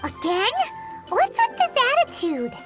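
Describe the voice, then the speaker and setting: A woman's voice: very high-pitched, wildly swooping pitch, a little slow, loud music behind the voice, exaggerated voice. A woman voicing a tiny, earnest, fussy fairy companion with a childlike manner in a video game. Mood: indignant. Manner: childlike, refers to self in third person.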